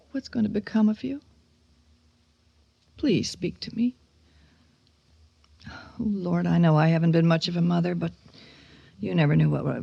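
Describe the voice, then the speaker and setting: An anxious widowed mother in a radio drama. She pleads and defends herself with hesitant emotion, her voice edged with fry. The recording is close and dry. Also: on the low side, warm tone, dry recording, highs cut off.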